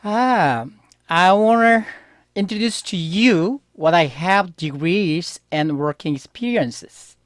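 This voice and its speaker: Middle-aged korean guy teaching beginners in english, korean accent, super slow careful delivery, dry close sound. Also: high voice, no echo. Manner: slow and careful, stressing key words, asking learners questions. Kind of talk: beginner language lesson.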